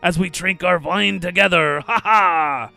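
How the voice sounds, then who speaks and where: A man reading a dramatic story, voicing a merry, drunken, doomed nobleman with drawn-out theatrical cries. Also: high-pitched, gravelly, sharp tone, pitched above the performer's own voice, exaggerated voice. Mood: nervous laughing.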